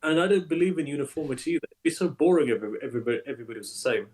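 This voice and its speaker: A man, an imam, speaking as a guest in a conversational interview, emphatic and animated, often repeating his words as he builds a point. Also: on the high side, racing, rough recording.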